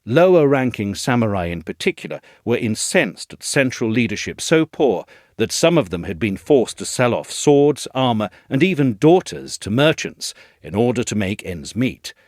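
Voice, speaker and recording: Guy narrating an essay, really varied melody in his voice, measured storytelling, super dry close recording. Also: on the low side, no room sound.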